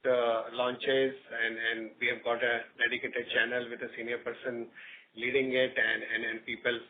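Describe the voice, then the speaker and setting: A man with an Indian accent answers questions as a company executive on an earnings call, in halting corporate talk with repeated words. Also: mid-range voice, flat delivery. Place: conference call over phone line. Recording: tinny phone line with background noise.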